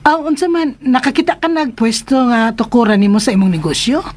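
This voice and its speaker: Doting middle-aged mother played by a woman, highish animated voice, gently chiding, radio drama. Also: fairly quick, close to an everyday voice. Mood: fond, chiding.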